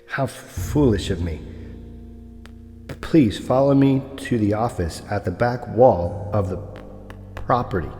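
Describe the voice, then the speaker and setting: Guy doing a stammering real estate broker for audio drama, dark low voice, very formal and apologetic. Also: measured pace, long pauses, soft background music, close to an everyday voice. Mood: polite, halting. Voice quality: stutter. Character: formal, deferential male property broker with a stutter.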